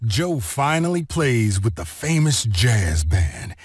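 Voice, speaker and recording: A man narrating a children's read-along story in an expressive, deliberate storytelling manner. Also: mid-range voice, no room sound, clean studio sound. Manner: expressive, deliberate storytelling delivery with clear phrasing.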